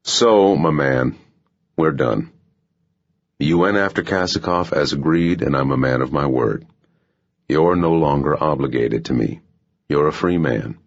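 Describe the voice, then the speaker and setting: World-weary spy boss for a thriller audiobook, played by a male reader: very deep, husky, frank and wry. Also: measured pace, long pauses, full character voice. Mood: matter-of-fact. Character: blunt, world-weary male American intelligence official. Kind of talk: audiobook character dialogue. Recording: muffled, dull audio.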